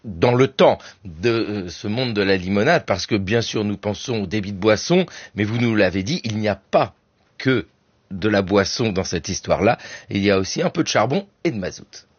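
A man with a low, husky voice hosts a radio interview and calmly sums up what his guest says. The sound is dry and a little muffled. His delivery is unhurried and steady. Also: no room sound. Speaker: radio host.

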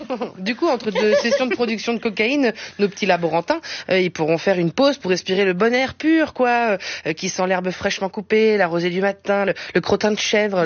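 High-voiced playful woman, muffled noisy sound. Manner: mock-earnest, ironic, playful asides. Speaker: radio humour columnist. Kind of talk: comic radio chronicle, semi-scripted. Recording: dull muffled sound, loud noise.

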